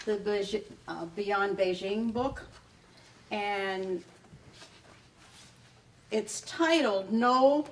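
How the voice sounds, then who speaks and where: A woman reading a memoir excerpt to a live audience in measured short phrases. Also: medium pitch, far from the mic, slight background noise, low-quality recording. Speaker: author reading a book excerpt. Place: live reading at a public library event.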